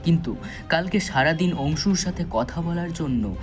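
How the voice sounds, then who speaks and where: A man tells a scripted thriller as its narrator. His voice sits a little high, the delivery is dramatic and suspenseful, and music runs behind it. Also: animated, normal speed. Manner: suspenseful storytelling. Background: background music.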